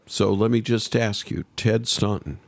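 Guy hosting a podcast, lowish gravelly voice, measured questions, dry sound. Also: steady tone, no echo. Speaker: podcast host and interviewer.

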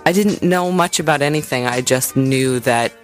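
A woman's voice: a bass voice, normal speed. A woman speaks as an interview guest, with faint music behind her. She is a singer-songwriter talking in a casual, informal way, working out her thoughts as she speaks. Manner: informal, thinking aloud.

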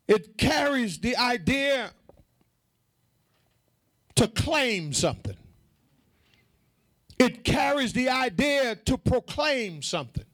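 A man preaching a fervent sermon to a congregation, emphatic and repetitive, calling for response and rising into shouted, half-sung phrases. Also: extremely high pitch, no echo, studio quality.

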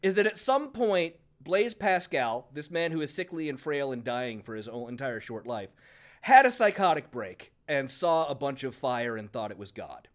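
A man's voice: medium pitch, very animated, normal speed. A man co-hosting a casual podcast about a book, sarcastic and swearing freely, laughing often. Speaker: podcast co-host.

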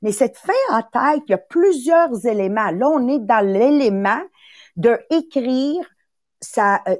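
A Quebec-accented woman in her sixties gives a motivational talk, animated and emphatic. She circles back to the same phrases and slips into quick little acted voices. Her pitch sits fairly high and leaps around a great deal, and the recording is dry with no room sound. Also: no echo. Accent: Quebec French. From Italy. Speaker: podcast host and sales coach.

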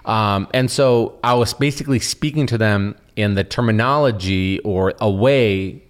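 A man's voice: fairly low pitch, unhurried. A young man who grew up speaking Russian, co-hosting a podcast in a rambling casual chat full of fillers and restarts. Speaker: podcast co-host.

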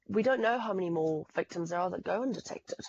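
A woman's voice: on the low side, quick pace, a little mellow, dull audio. A woman from Zimbabwe with a Zimbabwean accent, a podcast guest explaining things in an earnest, fact-heavy way with frequent restarts. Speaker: podcast guest, fraud specialist. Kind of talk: explanatory interview talk.

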